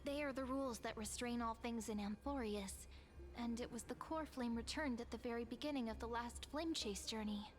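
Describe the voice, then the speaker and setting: A woman's voice: on the high side, moderate pace, natural voice. A woman voicing an earnest young girl companion in a video game. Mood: gentle, earnest. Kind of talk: scripted video game voice acting.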